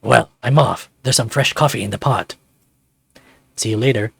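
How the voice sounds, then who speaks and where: A fatherly dragon voiced by a man in a visual novel. He is polite and considerate, speaking in a paternal way at a middle pitch. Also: normal speed, creaky, a bit bright, husky, no room sound, heavily acted. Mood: understanding.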